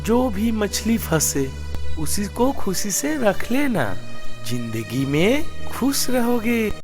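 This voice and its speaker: High-pitched, animated poor cartoon fisherman. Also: slow, faint music behind, exaggerated voice. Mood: earnest. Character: poor simple fisherman, hopeful, grumbling, wily. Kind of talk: Hindi children's cartoon story acting.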